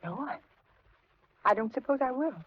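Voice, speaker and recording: An actress playing a frightened, kindly middle-aged housewife in a 1950s radio suspense drama, with an anxious, hesitant, dramatic delivery. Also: female voice, high voice, expressive, normal speed. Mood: uneasy. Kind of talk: 1950s radio suspense acting and first-person narration. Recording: muffled, noisy 1950s radio recording.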